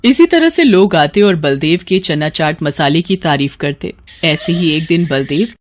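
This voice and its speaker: A woman narrating a scripted moral story in an expressive storytelling manner. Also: low-pitched, measured pace.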